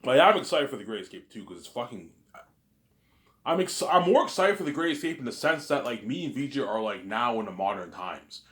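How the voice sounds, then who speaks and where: A man's voice: medium pitch, sing-song, fairly quick, a bit bright. A man from Canada with a mild Canadian accent, talking in a rambling casual monologue that often repeats and restarts words.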